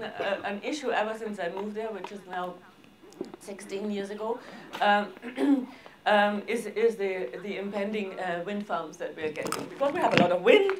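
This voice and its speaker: Woman giving a slide talk, informal, lots of hesitating and broken sentences, medium pitch. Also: noisy background. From Austria. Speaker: arts organisation director presenting projects. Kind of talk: seminar presentation with slides.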